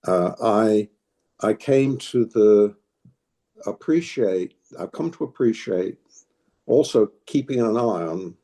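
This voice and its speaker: Older man, low and mellow. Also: creaky. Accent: New England American. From New England, United States. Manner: hesitant, restarts often, thoughtful. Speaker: interviewee, political activist. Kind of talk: reflective interview talk.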